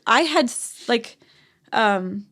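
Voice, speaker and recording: Soft, halting woman with frequent sighs. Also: on the high side, animated. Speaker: podcast guest. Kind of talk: personal storytelling in conversation.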